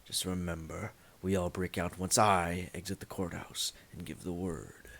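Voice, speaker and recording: A man's voice: deep voice, little pitch movement, normal speed, vocal fry, a bit bright, full character voice. A man playing a grim masked vigilante and a smug crooked lawyer in an amateur superhero radio play. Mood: smug, orating. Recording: music behind the voice.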